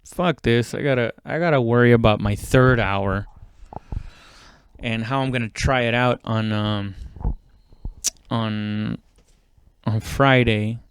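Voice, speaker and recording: A man chatting casually and haltingly as a comedian on his own podcast, swearing freely. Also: medium pitch, slight background noise. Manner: informal, halting, heavy swearing. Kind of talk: casual rambling solo chat.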